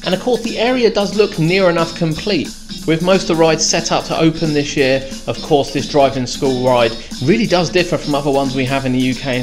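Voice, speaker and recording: A British man giving a measured, descriptive voice-over tour as a theme park vlogger. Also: a little high. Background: clear background music.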